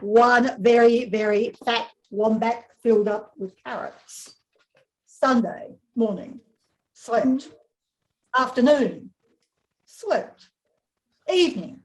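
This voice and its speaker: An older woman reading aloud as a lazy, demanding wombat, in terse, deliberate diary entries. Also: a little high, low-quality recording. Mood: sleepy, content. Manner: terse, deliberate, deadpan self-importance. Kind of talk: picture book read-aloud.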